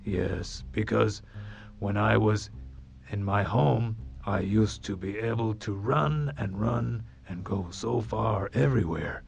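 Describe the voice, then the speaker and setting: A storyteller voicing a sad, weary lion in a small zoo enclosure, who speaks slowly and plainly for a children's story. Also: mid-range voice, creaky, a little mellow, lo-fi sound. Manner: slow, plain, unhurried speech.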